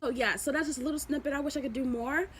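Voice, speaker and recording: A woman's voice: high-pitched, steady tone, racing, slight background noise. A woman vlogging, chatting in a rambling, affectionate way, often repeating herself and restarting. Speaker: YouTube vlogger. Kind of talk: motivational vlog chat.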